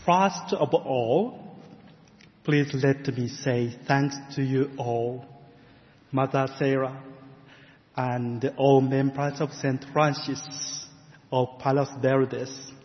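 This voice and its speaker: A middle-aged man from Japan with a Japanese accent, preaching a sermon as a guest in a church, measured and careful, with gentle laughs. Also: mid-range voice, steady tone. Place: church sanctuary. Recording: muffled sound with long church reverb.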